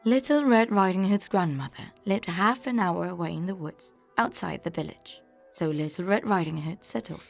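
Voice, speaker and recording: A woman's voice: fairly low pitch, normal speed, gravelly. A woman narrating a scripted fairy tale for children as a storyteller. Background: faint music.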